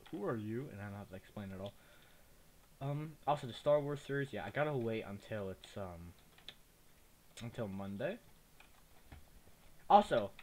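Young man with a slight lisp. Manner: rambling, chatty, easily distracted. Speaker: gaming YouTuber. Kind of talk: casual let's play commentary. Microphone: cheap desktop mic. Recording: low-quality mic with faint noise.

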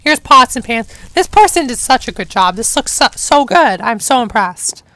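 A young woman chatting casually through a game playthrough, hoarse from talking, rambling and enthusiastic. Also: a little high, sing-song, normal speed, a bit bright, slight background noise. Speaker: gameplay narrator. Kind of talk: casual let's-play commentary.

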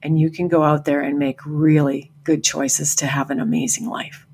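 A woman's voice: deep voice, level tone, moderate pace, a little mellow, slight background noise. An older woman coach from Canada, talking upbeat and encouraging as a podcast guest over a call. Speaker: farm family coach. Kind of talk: podcast interview. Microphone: remote call.

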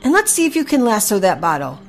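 A patient, folksy male horse from a storybook, put on by a woman. He coaches like a kindly cowboy mentor, in a lively raised voice with a husky edge. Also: on the high side, moderate pace, soft background music, voice pushed up. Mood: instructing.

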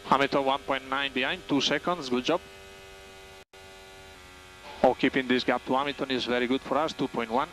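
A man's voice: medium pitch, steady tone, normal speed, long pauses, a bit bright, a little hiss or hum, low-quality recording. A man working as a race engineer, giving clipped, factual updates over team radio and repeating key phrases for clarity. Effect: team radio transmission.

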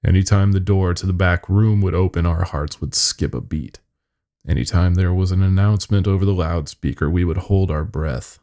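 A man's voice: sits low, gravelly, darkish tone. A man narrating a scripted first-person horror story as a podcast storyteller.

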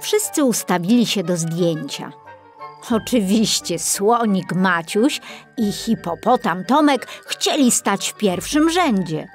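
A woman narrates a children's story at a slow, measured pace. Her intonation is lively and there is clear music behind her. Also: medium pitch.

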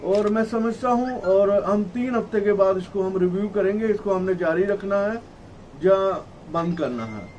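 A middle-aged man delivers a formal statement in a high voice, slightly muffled by a face mask. He speaks haltingly as a spokesman at a press conference. The microphone sits at a distance in a room with faint background noise. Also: darkish tone. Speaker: traders' federation spokesman. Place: small indoor office at a press conference. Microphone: cluster of table microphones, camera at some distance. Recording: distant mic, noisy room ambience.

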